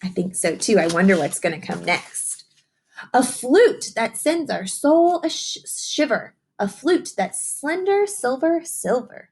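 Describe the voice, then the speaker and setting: A woman's voice: on the high side, very animated, measured pace, a little mellow, breathy edge. A woman reading a picture book aloud to young children like a teacher, encouraging them with simple questions and chatty explanations.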